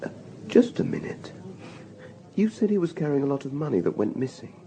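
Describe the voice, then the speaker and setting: An actor playing a gruff man in a radio drama, gravelly-voiced and casually questioning. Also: mid-range voice, wildly swooping pitch, brisk, dark tone, a little hiss or hum. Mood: casual, probing. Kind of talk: naturalistic radio drama acting.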